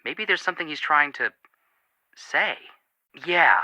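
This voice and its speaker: An actor playing an earnest, sympathetic young amateur detective in scripted video game dialogue. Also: male voice, a little high, expressive, a little slow, pushed and bright, no echo, exaggerated voice. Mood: earnest, reflective.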